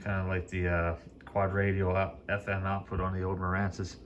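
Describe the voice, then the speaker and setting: Low-voiced man chatting casually, noisy recording. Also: completely flat delivery, moderate pace, lo-fi sound. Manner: informal, colloquial, rambling, enthusiastic. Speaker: vintage hi-fi hobbyist demonstrating gear. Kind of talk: casual, unscripted product demo chat.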